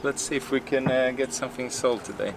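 A man, chatting casually to the camera on a sailboat deck, relaxed and hesitant with many fillers. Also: mid-range voice, fairly quick, gravelly. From Sweden. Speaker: sailing vlogger. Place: outdoors on a sailboat deck on a bay. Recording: distant camera mic with outdoor noise.

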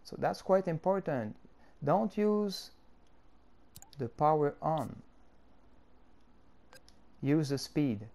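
A man's voice: on the high side, lively intonation, dark tone. A man whose first language is French, speaking English with a French accent and walking through a game-editor tutorial step by step. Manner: unhurried, explaining step by step with fillers. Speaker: tutorial creator.

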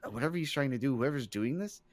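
A man's voice: mid-range voice, expressive, fast, lightly acted. A man playing a knowledgeable young student mage, explaining and musing hesitantly in an improvised game roleplay.

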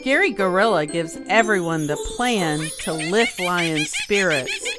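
A woman reading a rhyming children's picture book aloud in an expressive, deliberate storytelling manner. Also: on the low side. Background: music behind the voice.

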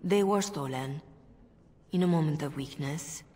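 An actress voicing a composed, earnest revered mother in a video game, soft-spoken and measured. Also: low-pitched. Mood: regretful, frank. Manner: measured, solemn. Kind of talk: video game cutscene acting. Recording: long reverberant hall echo.

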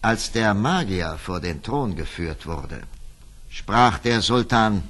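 Fairy-tale narrator: man, lowish husky voice, lively and expressive storytelling. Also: slow. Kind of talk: scripted fairy-tale narration for an audio play.

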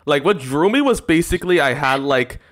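A man's voice: on the high side, animated, fairly quick, a bit bright. A young man hosting a casual reaction stream, talking in a rambling way full of "like", at a desk microphone in a small room. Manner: rambling, filler-heavy, many likes. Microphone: large desk microphone with headset.

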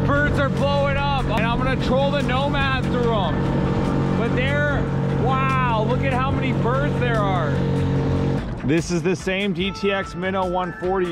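A young man narrating his fishing trip from a small skiff on the open ocean, recorded on an action camera, talking in a hyped, upbeat way. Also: very high voice. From San Diego area. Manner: hyped, shouting when hooked up. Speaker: fishing vlogger. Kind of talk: excited fishing vlog narration. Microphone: action camera on a mount.

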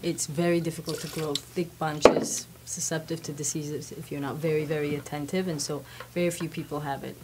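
A woman's voice: deep voice, steady tone, a little fast, hardly any pauses, darkish tone, slight background noise. A woman in her thirties from Armenia, a winery guest explaining grapes and history in a poised, informative way. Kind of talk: interview guest. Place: wine shop studio set, indoors.

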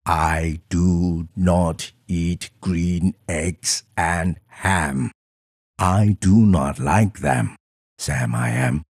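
Grouchy storybook creature, acted by a man: very low, husky, stubborn, slow and emphatic. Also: no echo, studio quality, exaggerated voice. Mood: weary stubbornness. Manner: emphatic, grumbling refusals. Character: grumpy, stubborn, grouchy large creature refusing food. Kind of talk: storybook character voice.

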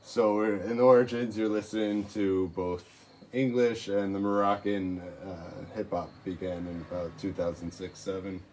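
A man's voice: low voice, steady tone, unhurried, few pauses, darkish tone, slight background noise. A man in his thirties hosting a livestream interview, asking curious questions in a casual way, in a small room on a close phone camera. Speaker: livestream host. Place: small room with reed-panel wall.

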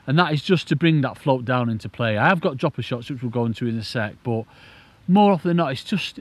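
A man with a British accent giving informal, enthusiastic, rambling instructional chat as an angling presenter. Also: medium pitch. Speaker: angling presenter demonstrating a rig. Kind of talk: casual instructional chat to camera.

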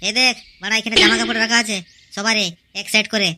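A woman's voice: on the high side, level tone, quick pace, background noise. A performer voicing a brash young village man in a cartoon, cocky and crude, bossing his family around. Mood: boastful.

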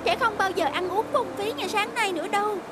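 A woman voicing a childlike, friendly rabbit in an animated fairy tale. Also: very high voice, brisk, heavy background noise.

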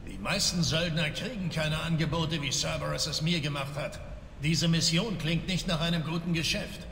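An actor playing a brash, cynical, hardened mercenary in a video game, blunt and businesslike. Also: male voice, a little high, normal speed, vocal fry, a bit bright. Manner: blunt, brusque. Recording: echoey hall, faint ambient noise.

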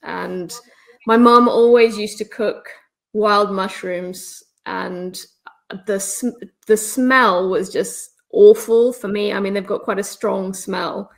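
A woman's voice: medium pitch, takes it slowly, gravelly. Middle-aged woman from Zambia, webinar guest on a home video call, easygoing and chatty, mid-range voice. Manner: relaxed, chatty, laughs easily. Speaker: guest speaker. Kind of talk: casual webinar chat. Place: home room, indoors.

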